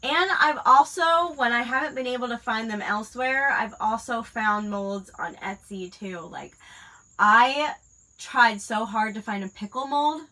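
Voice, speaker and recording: Crafting host, woman in her 30s, stuffy nose from a cold, crisp bright tone, chatty and rambling. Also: fairly high pitch, measured pace, rough recording. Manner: rambling, many fillers. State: congested from a cold. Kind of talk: casual chat while crafting.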